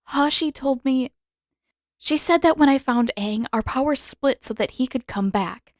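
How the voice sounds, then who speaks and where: A woman voicing an earnest teenage girl hero in a story reading, halting and emotional, as if holding back hurt. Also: high voice, no room sound, clean studio sound, voice pushed up. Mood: sad, explaining. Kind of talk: dramatic audiobook character reading.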